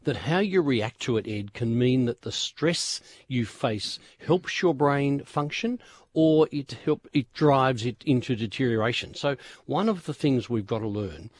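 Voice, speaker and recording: A man's voice: medium pitch, lively intonation, unhurried, no room sound. A man with an Australian accent, a radio health expert who explains and advises in an earnest way. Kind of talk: casual chat. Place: radio studio.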